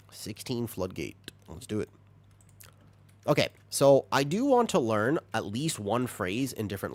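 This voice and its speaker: A man streaming a video game, chatting with viewers, reacting with excited high bursts and then dropping into quiet asides. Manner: animated, jumps into high excited bursts while reading chat; drops to low, quiet asides while setting up. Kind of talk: live-stream chat while gaming.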